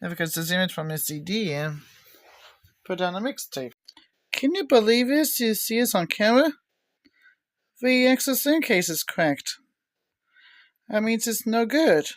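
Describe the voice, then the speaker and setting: A woman giving a casual, unscripted show-and-tell with halting, rambling delivery. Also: medium pitch, lively intonation, dry recording. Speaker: video maker showing cassette tapes.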